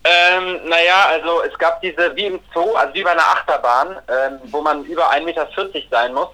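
A man with a fairly high, bright voice talks over a phone call. He chats casually and effusively, with a sentimental streak, as a podcast co-host. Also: fairly quick. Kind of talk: casual chat.

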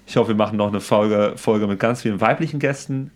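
A man's voice: lowish voice, brisk, a little mellow. A young man co-hosting a podcast, rambling in slangy, casual chat among friends. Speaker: podcast co-host.

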